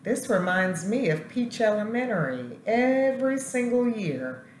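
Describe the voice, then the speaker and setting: A woman principal reading a children's picture book aloud in an expressive, deliberate storytelling way, with asides to her students. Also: on the high side. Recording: roomy echo, faint background noise.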